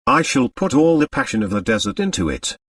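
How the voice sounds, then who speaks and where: A seductive, grasping female dancer put on by a male reader murmurs with languid theatrical self-regard. The pitch sweeps about widely, captured dry and a bit distant. She belongs to storybook drama. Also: wildly swooping pitch, a little fast, creaky, a bit bright, no room sound, exaggerated voice. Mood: languid, coaxing.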